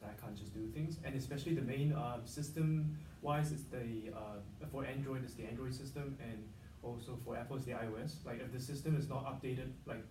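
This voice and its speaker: A man talking in a focus group, hesitant and full of ums and restarts. His voice sits at a middle pitch and is picked up from a distance in an echoey room with a clear hum of ambience. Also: fairly even intonation, fairly quick, a little mellow. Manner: hesitant, many fillers and restarts. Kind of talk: casual discussion.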